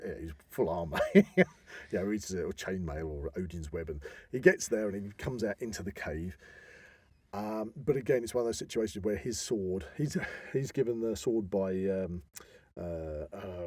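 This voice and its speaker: A man's voice: on the low side, dark tone, husky, no room sound. A man co-hosting a podcast, chatting casually about films and often interrupting himself as he rambles. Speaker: podcast co-host; actor. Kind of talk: casual film discussion.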